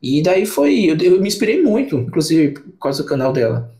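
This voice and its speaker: A man's voice: a little high, very animated, fairly quick, vocal fry, warm tone. A young man, chatting casually as a podcast guest, rambling with many restarts and fillers. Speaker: book channel creator, podcast guest.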